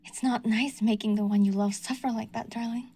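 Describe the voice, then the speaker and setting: Woman voicing a delusional yandere stalker, fairly high, sugary menace with hushed whispers. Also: steady tone, fairly quick, breathy edge. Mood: menacing. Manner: sweetly menacing, slips into whispers. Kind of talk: audio roleplay.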